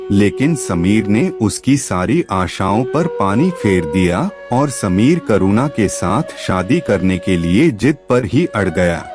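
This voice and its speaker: A man narrating a scripted family moral story in a dramatic storytelling style. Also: on the low side. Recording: music behind the voice. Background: background music.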